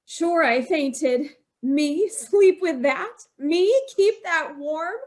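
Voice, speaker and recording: An actress plays a distraught wife in an old-time radio horror drama. Her voice is high and she speaks slowly. She sounds secretive and haunted, repeating words for dramatic weight. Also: a little mellow. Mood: haunted, bitter. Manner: dramatic, emphatic repetition, haunted confessional telling.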